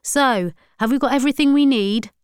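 A female narrator reads as a confident male activist leader in an audiobook. He is brisk and commanding, with a smooth, clear sound and no room echo. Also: high-pitched, studio quality, voice pushed up, lightly acted. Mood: assured.

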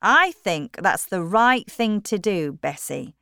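A woman doing a stubborn, forceful old-fashioned miller. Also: mid-range voice, lively intonation, slow, clear, resonant tone, dry recording, studio quality, full character voice. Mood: musing. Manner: forceful, emphatic, raised voice. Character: stern middle-aged country miller, stubborn and proud.

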